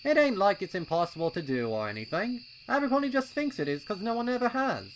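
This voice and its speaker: A man voicing an eager, adventurous young colt pony in an excitable, insistent way for a story reading. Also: high-pitched, quick pace, a little hiss or hum, pitched above the performer's own voice, poor audio. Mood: excited, bold.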